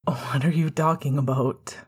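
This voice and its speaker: A woman as a halting, husky man in love. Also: low-pitched, fairly even intonation, a little mellow, pitched below the performer's own voice, heavily acted. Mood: confused. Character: Sirius, confused, emotional, remorseful man in love. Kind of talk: fan fiction audiobook dialogue.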